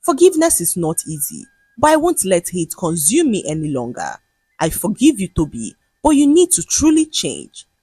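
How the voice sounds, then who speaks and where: A woman voicing a hurt, stern man in a folktale, calm but firm and measured. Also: mid-range voice, husky, no echo, faint music behind, full character voice. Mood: stern, forgiving.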